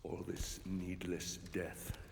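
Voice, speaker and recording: Deep, vengeful Roman general. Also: male voice, flat delivery, vocal fry, heavily acted. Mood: cold accusation. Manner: slow, heavy accusation. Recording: echoey hall with background noise.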